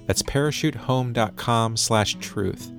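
A man hosting a podcast, chatting in a relaxed, conversational way and reading ads with light hesitations. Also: medium pitch, measured pace, gravelly, soft background music. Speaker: podcast host. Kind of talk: chatty intro and ad reads.

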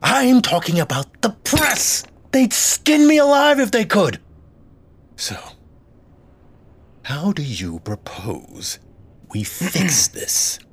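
A man playing a sarcastic, frazzled, domineering small-city mayor with a theatrical, drawn-out delivery in an audio drama. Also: high-pitched, very animated, husky, exaggerated voice. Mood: sardonic, agitated. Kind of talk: scripted audio drama acting.

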